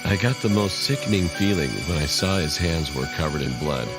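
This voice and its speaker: A man narrates a scary story in a very low voice. He reads from a script in the role of storyteller. Music is clearly heard behind him. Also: animated, brisk.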